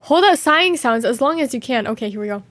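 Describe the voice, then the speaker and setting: A woman's voice: on the high side, expressive, quick pace, a bit bright. A woman streamer chatting casually, reading viewer questions aloud and reacting playfully with frequent giggles. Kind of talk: casual live Q&A chat.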